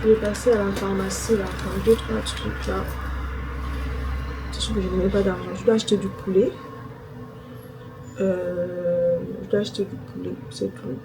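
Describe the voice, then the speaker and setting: A woman's voice: mid-range voice, fairly even intonation, moderate pace, pauses often. Young female vlogger, chatty, talks to herself as she goes, noisy phone recording. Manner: chatty, thinking aloud with fillers. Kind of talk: casual vlog chat to camera.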